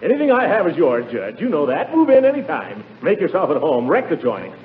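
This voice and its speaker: Blustery nervous uncle, old radio comedy, really animated, echoey and tinny. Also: male voice, fairly high pitch, brisk. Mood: sarcastic. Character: blustering, nervous middle-aged uncle, pompous yet easily rattled. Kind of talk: 1940s radio comedy acting. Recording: tinny, echoey, noisy 1940s radio recording.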